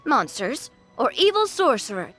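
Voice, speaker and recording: An eager, polite young lady in an anime game. Her voice is high and swings in a lively way as she speaks inquisitively, over faint music. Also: normal speed, heavily acted. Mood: eager, chatty. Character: bright, eager, well-bred young woman. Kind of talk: anime-style video game voice acting. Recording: soft music behind.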